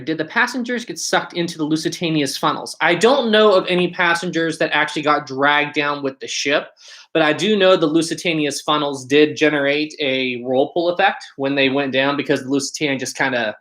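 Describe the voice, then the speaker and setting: A man's voice: on the high side, moderate pace, a bit bright. A man with a West Virginia accent hosting a casual livestream, chatting informally and answering viewer comments. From West Virginia, United States. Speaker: livestream host.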